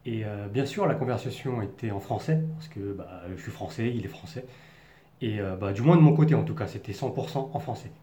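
Young man from the Paris region, vlogger, earnest and searching for words, warm dark tone, roomy sound. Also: lowish voice, lively intonation, fairly quick, a little hiss or hum. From France, Paris region. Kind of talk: personal storytelling to camera. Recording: echoey room.

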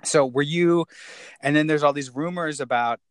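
A man's voice: a little high, normal speed, dry recording. Wisecracking male podcast host, dry sound. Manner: playful, wisecracking. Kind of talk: casual joking interview.

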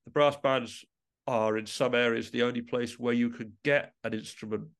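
A man speaking as a musician guest in a reflective interview, halting and often rephrasing mid-sentence. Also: mid-range voice, steady tone, clear, resonant tone.

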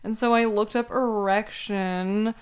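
A young woman with a deep voice, co-hosting a podcast and telling rambling stories full of filler words. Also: steady tone, slow, smooth. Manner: rambling anecdotes, many "like" fillers. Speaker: podcast co-host. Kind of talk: casual podcast chat.

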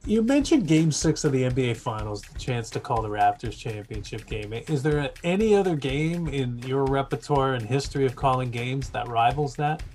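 A man hosting an interview asks quick, enthusiastic questions. His voice sits at an ordinary middle pitch. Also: animated, darkish tone. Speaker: interview host.

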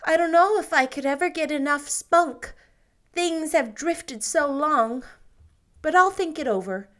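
A woman's voice: sits high, measured pace, a bit bright, voice pushed up. A woman voicing a timid middle-aged spinster who speaks hesitantly and meekly in a storybook reading. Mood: resigned. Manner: hesitant, meek and wistful.

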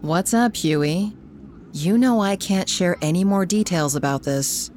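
An actress playing a shy, friendly woman in an audio drama, answering an old friend in a halting, natural way. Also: fairly low pitch, a little mellow, slight background noise, natural voice. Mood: shy, flattered. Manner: hesitant, halting.

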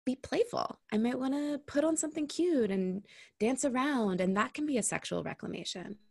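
Female guest, intimacy coach, frank and reflective, slight vocal fry, dry close sound. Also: high voice, lively intonation, moderate pace, no echo. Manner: candid, reflective, swears casually. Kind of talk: casual podcast conversation.

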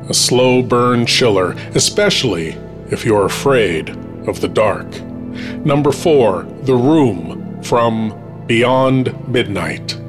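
A man hosting a show, reading scripted countdown narration in a measured, deliberate announcing style. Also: medium pitch, lively intonation. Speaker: podcast host. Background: music.

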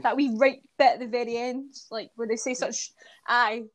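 A woman's voice: fairly high pitch, fairly even intonation, normal speed, no echo. A Scottish woman chatting on a podcast with friends, telling stories with lots of fillers and giggles. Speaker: podcast guest. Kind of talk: casual chat among friends.

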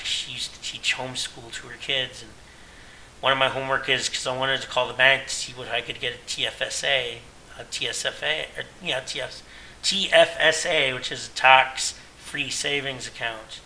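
A man's voice: medium pitch, fairly even intonation, moderate pace, sharp tone, a little hiss or hum, rough recording. A Canadian man in his late thirties, talking earnestly and at length in a rambling, self-correcting personal monologue as a podcast host. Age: 30s.

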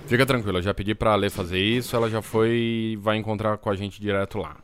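A friendly, appreciative businessman, acted by a man with a Brazilian accent, talking quickly in a lowish voice. Faint ambience sits behind this audio drama dialogue. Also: steady tone, slight background noise, natural voice. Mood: brisk, reassuring. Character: appreciative, friendly businessman, adult male client.

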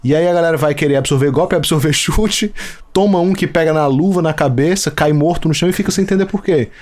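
A Brazilian man with a slightly high voice chats casually on a podcast. He jokes and rambles in a loose, friendly back-and-forth. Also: quick pace, darkish tone. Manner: joking, chatty, rambling. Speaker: podcast co-host. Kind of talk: casual chat.

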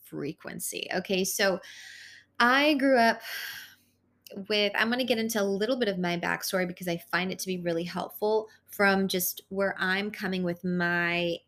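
A woman's voice: medium pitch, steady tone, normal speed, fairly bright tone. A woman whose first language is Hungarian, hosting an unscripted spiritual podcast and rambling in a self-aware way with frequent fillers. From born in Italy, raised in the United States. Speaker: podcast host. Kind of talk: unscripted solo podcast monologue.

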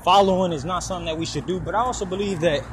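A man's voice: high-pitched, rapid-fire. A man in his twenties talking casually for his podcast while walking outdoors by a road, rambling and swearing freely. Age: 20s. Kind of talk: casual personal monologue. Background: passing traffic.

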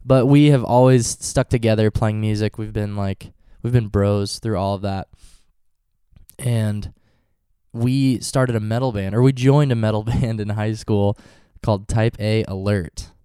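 A man's voice: lowish voice, fairly even intonation, a little slow, mellow, smooth, no echo, studio quality. A man in his twenties with a stuffy cold, chatting casually in a vlog and wandering off into goofy tangents. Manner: rambling, goofy tangents and jokes. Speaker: vlogger and touring band musician.

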